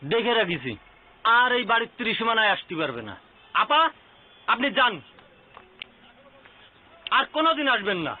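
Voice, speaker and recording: A man's voice: extremely high pitch, sing-song, moderate pace, long pauses, exaggerated voice. A man acting an obedient, deferential household guard in a TV drama. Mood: dutiful. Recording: phone-like sound, faint background noise.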